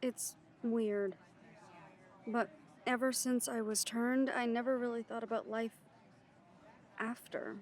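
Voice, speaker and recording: A woman's voice: fairly high pitch, level tone, slow pace, pauses often, a little mellow. A woman playing a cautious, frank werewolf second-in-command in an audio drama, with a questioning, dryly sardonic manner. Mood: uneasy, reflective. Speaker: voice actor in audio roleplay. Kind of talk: scripted audio roleplay drama.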